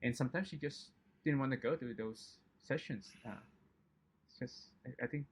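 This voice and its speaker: A man's voice: medium pitch, normal speed, a little hiss or hum. A man from Indonesia whose first language is not English, answering interview questions in a hesitant, conversational way with many fillers. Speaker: chemist guest.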